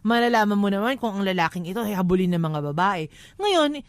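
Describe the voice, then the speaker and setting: A woman's voice: mid-range voice, expressive, fairly quick, no echo. A woman with a Filipino accent co-hosting an advice show, talking conversationally in a frank, emphatic way and slipping into English. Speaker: radio advice show co-host.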